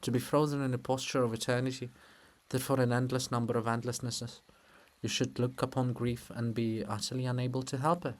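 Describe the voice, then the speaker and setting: A man voicing the bronze monument of a weary old poet, measured and dry. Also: mid-range voice, level tone, pitched below the performer's own voice, close to an everyday voice. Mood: brooding, mournful.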